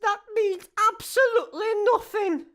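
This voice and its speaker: A Scottish woman playing a cheeky, deadpan petrol station attendant in a sci-fi comedy radio play, dry and sarcastic. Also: very high voice, moderate pace. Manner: dry, self-aware, sarcastic.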